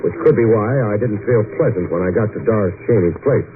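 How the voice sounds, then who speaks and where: An actor playing a cool, wry, hard-boiled private detective in an old-time radio drama, with a dry, laconic, wisecracking delivery. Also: male voice, fairly low pitch, quick pace, close to an everyday voice. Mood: dry narration. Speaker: radio drama lead and narrator. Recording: tinny, narrow-band old radio recording, faint noise.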